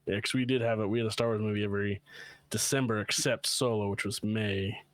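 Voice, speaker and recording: Male co-host, slightly low voice, close dry recording, blunt and slangy casual talk. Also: steady tone, brisk, dry recording. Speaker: podcast co-host. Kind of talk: casual chat.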